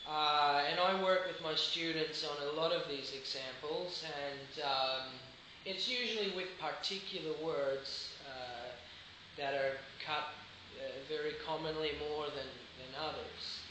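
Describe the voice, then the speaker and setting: Aussie guy teaching english, bit high voice, lots of uhs, super echoey room w/ noise. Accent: Australian. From Australia. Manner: slow, hesitant, many fillers, demonstrates phrases. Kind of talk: informal video lesson.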